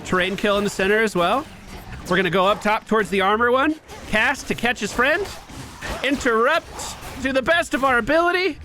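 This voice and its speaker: A man's voice: very high-pitched, brisk, bright, forceful tone, slight background noise. A man streaming a video game, giving casual play-by-play commentary on his own moves with asides to viewers. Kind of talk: live gameplay commentary, casual.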